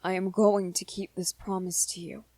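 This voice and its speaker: A woman voicing a gentle, lovestruck young vampire man in a romantic ASMR roleplay, earnest and hesitant, with small stammers. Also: on the low side, little pitch movement, normal speed, no echo, close to an everyday voice. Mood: earnest, reassuring.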